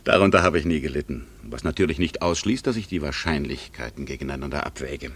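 An actor playing a wistful, ironic middle-aged gentleman and secret admirer in a 1960s German radio drama, with courtly, hesitant speech that can drop to a near-whisper. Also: rumbling low, lively intonation, fast, slightly rough, exaggerated voice. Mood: wry, wistful.

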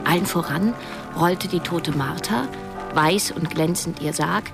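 A wistful woman storyteller in a radio drama. Her voice sits a little low, measured and reflective, with music clearly heard behind it. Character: reflective woman narrator recalling events, wistful. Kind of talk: literary radio drama narration.

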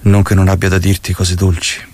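An actor playing a tender, solemn revolutionary saying farewell in poetic, measured stage-drama style. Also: male voice, low-pitched, monotone, a little mellow. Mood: tender melancholy. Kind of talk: poetic stage-drama acting, reciting letters.

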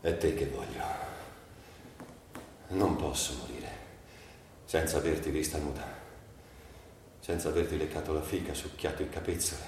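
A man's voice: a bass voice, a little mellow. A man performing a theatrical literary monologue for radio, brooding, in broken phrases with dramatic swings. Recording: very echoey room, distant, faint noise.

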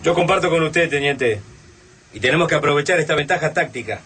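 An actor playing a zealous army major eager to attack in a radio drama. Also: male voice, fairly high pitch, expressive, brisk, background noise, lightly acted. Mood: fired up.

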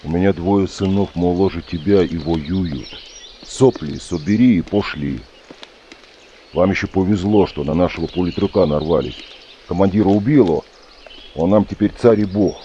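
Deep, dark-voiced seasoned older soldier played by a man. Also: moderate pace, muffled recording, slight background noise, close to an everyday voice. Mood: gruff, mocking. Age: middle-aged. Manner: folksy, plain-spoken, steadying. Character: seasoned fatalistic soldier at besieged Leningrad, fatherly and gruff. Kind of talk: audio drama acting.

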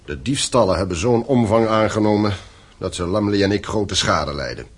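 A man's voice: on the low side, lively intonation, moderate pace, breathy edge. An actor in a radio play playing a stern, domineering middle-aged father who owns a mine, commanding and reproachful. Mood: stern, businesslike. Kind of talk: Dutch radio play acting.

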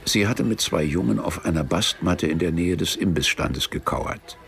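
A male actor narrating a literary radio play in a measured, detached way, as a storyteller with dry irony. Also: sits low, vocal fry, a bit bright.

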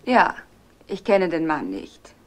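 An actress playing a guarded, evasive witness in a 1960s television crime drama, on an old soundtrack. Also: female voice, low voice, very animated, takes it slowly, heavily acted, poor audio. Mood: wary. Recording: old TV soundtrack, faint noise.

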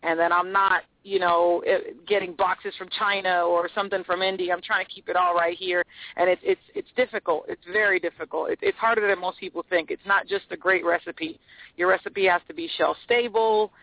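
A woman's voice: lowish voice, steady tone, a little fast, dry recording. A woman from Puerto Rico chatting casually as a guest chef on a radio call-in interview. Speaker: guest chef, restaurant owner. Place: remote phone call into a radio show. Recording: tinny, narrow phone-line sound.

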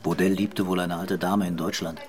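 An actor in a radio crime drama playing a stubborn, laconic police commissioner with a terse, brooding manner. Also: male voice, deep voice, level tone, moderate pace, natural voice. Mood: matter-of-fact. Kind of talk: German radio play acting. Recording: studio drama with music behind.

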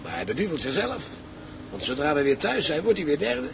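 An actor playing a gruff, fatherly ship's engineer with salty sailor talk in a radio drama. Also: on the high side, huge pitch swings, a little fast, exaggerated voice. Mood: wry. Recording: noisy outdoor drama scene.

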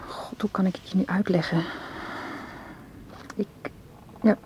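A woman's voice: medium pitch, steady tone, measured pace, a little mellow, in a whisper, close to an everyday voice, poor audio. An actress in a Dutch radio play, playing an anxious, guarded woman who speaks hesitantly and earnestly. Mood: troubled, hesitant. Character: anxious, guarded woman distrustful of her male suitor.

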